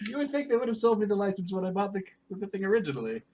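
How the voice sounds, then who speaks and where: Animated man, jokey banter. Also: high voice. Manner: jokey, amused, quick to laugh. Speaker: podcast co-host. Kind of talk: casual chat, bantering. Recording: faint noise, captured podcast audio.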